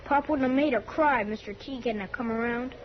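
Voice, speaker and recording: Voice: mid-range voice, quick pace, close to an everyday voice. A performer playing an earnest eight-year-old farm boy with folksy, rustic country talk, in 1950s radio western style. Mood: confessing, upset. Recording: muffled, faintly noisy vintage radio recording.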